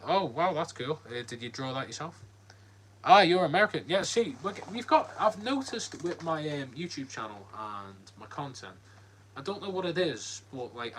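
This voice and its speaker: A man's voice: a little high, expressive, normal speed, a bit bright, a little hiss or hum, poor audio. A man with a Liverpool accent hosting a casual live Q&A, rambling and friendly as he reads out the chat. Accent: Liverpool (Scouse). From Liverpool, England. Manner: rambling, many ums and likes, friendly. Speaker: livestream host. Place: home, lit by a ring light.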